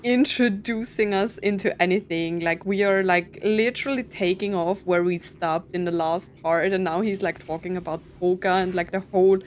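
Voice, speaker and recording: A woman's voice: lowish voice, fairly even intonation, normal speed, hardly any pauses, slight background noise. A woman giving informal live gameplay commentary, thinking aloud and questioning as she goes. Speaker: gaming video creator reacting to a story quest.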